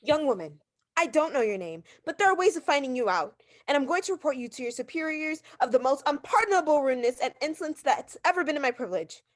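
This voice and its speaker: A neurotic, bedridden wife in an old-style radio thriller. Her high voice swings widely as she frets and complains, haughty, melodramatic and easily outraged. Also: very animated, fast. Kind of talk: theatrical 1940s-style radio drama acting.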